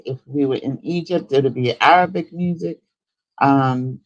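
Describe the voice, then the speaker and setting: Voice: mid-range voice, poor audio. A live stream host chatting casually and informally, thinking aloud while giving a tour of a virtual world.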